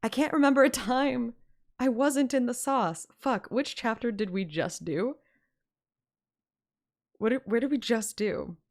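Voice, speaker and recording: A woman's voice: fairly high pitch, a little slow, long pauses. A woman streaming a story game, moving between playful, self-mocking chat and dramatic read-aloud narration. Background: game music.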